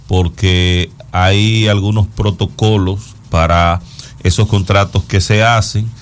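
A man with a fairly low voice and a Dominican accent talks through the news as a show host. He explains in a conversational way and repeats words to press his point. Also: steady tone, slow pace, slight background noise.

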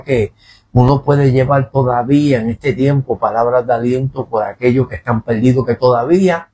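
A man's voice: mid-range voice, mellow, husky. A man, a pastor speaking as a radio guest, hesitant, repeating words and tagging "¿verdad?" onto nearly every phrase. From born in New Jersey, raised in Puerto Rico. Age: 50s. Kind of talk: radio interview, testimony. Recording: radio studio.

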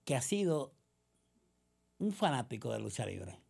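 A woman's voice: rumbling low, very animated, unhurried, creaky, warm tone, slightly rough. A woman with a Puerto Rican accent delivering a combative political monologue, sarcastic and accusatory, full of rhetorical questions. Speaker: political commentator.

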